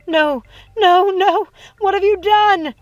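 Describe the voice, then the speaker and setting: A woman's voice: extremely high pitch, takes it slowly, no room sound, pitched above the performer's own voice. Villain witch mother, played by a female reader: menacing, possessive, syrupy threats, music underneath. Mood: screaming, desperate. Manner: syrupy menace, swinging from hushed coaxing to screaming.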